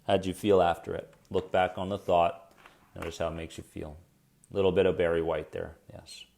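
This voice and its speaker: A man's voice: low voice, little pitch movement, moderate pace, creaky, a little mellow. A man hosting a live coaching stream on anxiety, chatty and encouraging, with a soothing voice, talking straight to his viewers and reacting to their comments.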